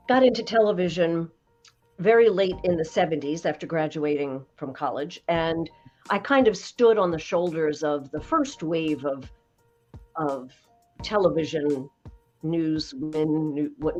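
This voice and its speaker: A woman in her sixties with a slightly low voice, a voice coach telling stories in an interview with slow, emphatic phrasing. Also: darkish tone. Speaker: voice coach guest. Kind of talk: conversational podcast interview.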